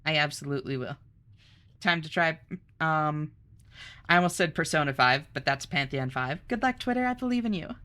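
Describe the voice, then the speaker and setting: A young woman streamer chatting casually, reading chat aloud, bubbly and quick to laugh. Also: low-pitched, lively intonation, bright, forceful tone.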